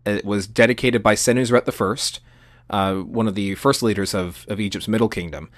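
A man's voice: on the low side, steady tone, moderate pace, dry recording, clean studio sound. A man co-hosting a podcast, explaining history in an enthusiastic, conversational way. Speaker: podcast co-host.

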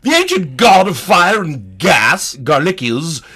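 A man playing a pompous, eccentric antiquities scholar, easily thrilled, with a halting, stammering, digressive delivery in a comedy lecture. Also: a little high, wildly swooping pitch, sharp tone, slightly rough, exaggerated voice. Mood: dramatic, astonished. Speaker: guest. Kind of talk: improvised-sounding comic lecture in character.

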